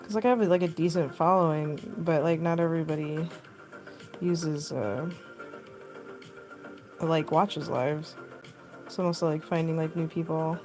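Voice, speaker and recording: A young woman with a deep voice, chatting casually and rambling with lots of fillers while she sculpts on a live stream, recorded on a phone. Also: fairly even intonation, a little slow, pauses often, over music, rough recording. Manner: rambling, filler-heavy, laughs often. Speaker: costume artist streaming live.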